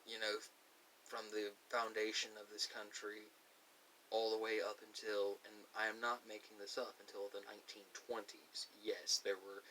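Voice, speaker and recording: An American man giving a rambling monologue to camera as a video host, often pausing mid-sentence in a halting way. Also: on the low side, monotone, bright, forceful tone. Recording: hissy, distant microphone.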